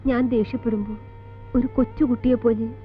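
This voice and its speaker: An actress playing a composed middle-aged college teacher in a film drama, speaking in a measured Malayali accent with deliberate pauses between short phrases. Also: female voice, fairly high pitch, flat delivery, close to an everyday voice. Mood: sombre, reflective. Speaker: actor in a film. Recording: tinny band-limited old film soundtrack, music behind.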